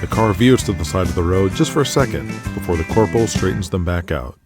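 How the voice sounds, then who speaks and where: A man giving steady, matter-of-fact scripted narration for an audio drama. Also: low voice, quick pace, music in the background.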